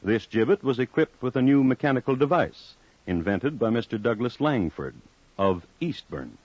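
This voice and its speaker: An actor playing a pompous, genteel crime historian, narrating in a measured, formal 1950s radio style. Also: male voice, mid-range voice, big pitch swings, a little mellow, dry recording. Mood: dryly formal. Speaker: host-narrator. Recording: dry, muffled old radio recording.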